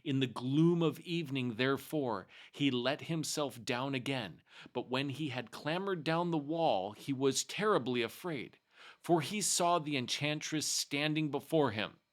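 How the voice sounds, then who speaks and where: Male storyteller, medium pitch, expressive, measured, scripted fairy-tale narration.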